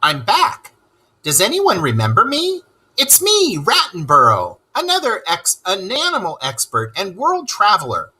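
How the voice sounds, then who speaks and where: A man's voice: high-pitched, huge pitch swings, a little slow, sharp tone, husky, full character voice. A man voicing a cheerful male rat who is an animal expert and world traveler, teaching children and asking them questions. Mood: enthusiastic. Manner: enthusiastic, asks the listener questions. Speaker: read-aloud teacher. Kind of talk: children's lesson read-aloud.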